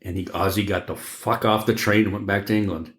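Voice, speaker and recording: Male podcast co-host, very low voice, casual and opinionated with salty language, echoey room sound. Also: steady tone, brisk. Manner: opinionated, profane. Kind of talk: casual chat.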